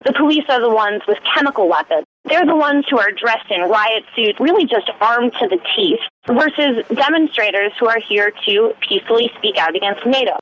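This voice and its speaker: A woman activist speaking in a forceful, indignant tone. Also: on the high side, animated, normal speed, few pauses, muffled recording, a little hiss or hum. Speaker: activist spokesperson. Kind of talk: interview statement.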